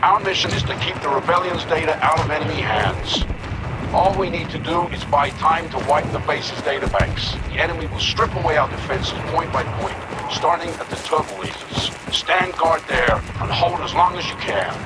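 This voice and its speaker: An actor playing an earnest, determined rebel army officer giving an urgent mission briefing in a video game. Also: male voice, a little high, normal speed, very noisy, close to an everyday voice. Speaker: military officer. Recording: loud music and battle effects. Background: loud music and artillery.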